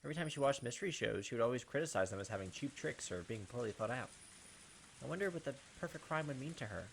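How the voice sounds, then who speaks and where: Guy doing a visual novel lets play, reads it out n chats casually, normal pitch, hissy background. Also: animated, fast, vocal fry, poor audio. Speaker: host of a game playthrough channel. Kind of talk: reading visual novel narration aloud with casual asides.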